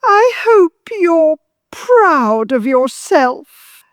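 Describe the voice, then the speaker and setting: Very high-pitched, wounded older mother. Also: big pitch swings, drawn-out words, dry recording, clean studio sound, heavily acted. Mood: wounded, bitter. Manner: emotional, guilt-tripping, theatrical. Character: bitter, wounded, manipulative older mother-in-law. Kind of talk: audio drama acting.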